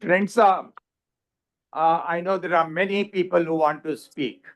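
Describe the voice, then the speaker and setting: A man's voice: fairly high pitch, steady tone, unhurried. A man moderating a panel discussion, courteous and formal as he invites speakers. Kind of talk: chairing a panel.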